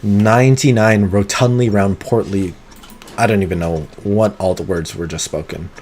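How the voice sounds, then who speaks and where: A man streaming a game live, talking through it in an excitable, competitive way, with sudden gasps and pleading. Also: lowish voice, moderate pace, a little hiss or hum. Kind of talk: live gameplay commentary.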